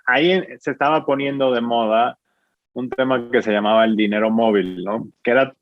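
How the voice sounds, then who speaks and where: A man in his forties with a Dominican accent, explaining his business as an interview guest in a measured way, often ending with a questioning tag. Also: on the low side, dry recording. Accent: Dominican Spanish. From Dominican Republic. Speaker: fintech founder, guest. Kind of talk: interview answers. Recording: muffled dry sound.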